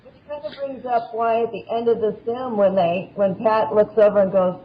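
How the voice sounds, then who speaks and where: Male panel guest, notably high voice, explanatory and anecdotal, casual talk, dull lo-fi recording. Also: fairly even intonation, moderate pace. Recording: dull lo-fi audio.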